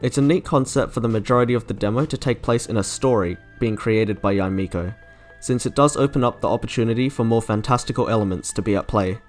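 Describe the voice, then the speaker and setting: A man's voice: on the low side, quick pace, darkish tone. A man delivering scripted commentary as the host of a video reviewing game character trailers. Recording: music under the voice. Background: background music.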